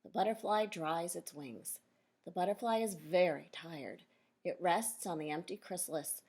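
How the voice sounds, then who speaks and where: A woman reading a children's nonfiction book aloud as a teacher, pausing to explain and question her young listeners directly. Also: on the low side, a little mellow, slightly rough.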